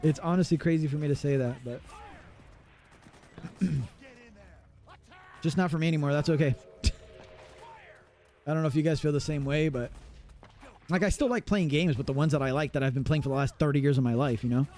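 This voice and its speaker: A man's voice: a little high, brisk, pauses often, dark tone. A man in his thirties streaming a game live, chatting casually and swearing while he plays. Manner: casual, profane, rambling. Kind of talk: live gameplay commentary.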